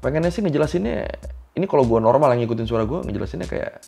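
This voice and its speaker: A man's voice: medium pitch, animated, rapid-fire, creaky, mellow, soft background music. A young adult man with a Jakarta Indonesian accent hosts an explainer, chatting casually and in slang, speaking straight to the viewer. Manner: colloquial, slangy, addresses the viewer directly. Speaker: explainer video host.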